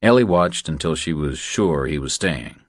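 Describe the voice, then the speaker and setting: Audiobook narrator: man, very deep register, dry studio-close sound, scripted thriller read. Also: animated, normal speed, dry recording.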